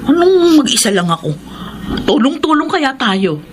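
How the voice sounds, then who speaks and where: A woman's voice: sits high, sing-song, normal speed, noisy background. An actress playing a caring, hard-up mother who works as a housemaid in a radio drama, plain-spoken and deferential to her employer. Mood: warm, practical. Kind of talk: Tagalog radio drama acting.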